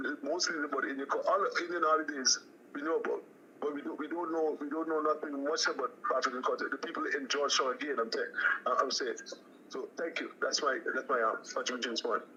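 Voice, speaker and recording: Guyanese male caller, bright and forceful, halting with lots of restarts, muffled phone line. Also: a little high, steady tone, slight background noise. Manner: halting, many restarts and fillers. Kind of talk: call-in radio chat.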